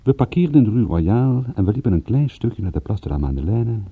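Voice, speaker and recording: A man's voice: on the low side, sing-song, quick pace, slightly rough, no echo, exaggerated voice. An actor playing a wry, observant male private detective who narrates and converses in a dry, sardonic radio-drama style. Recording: dull, muffled old radio-play recording.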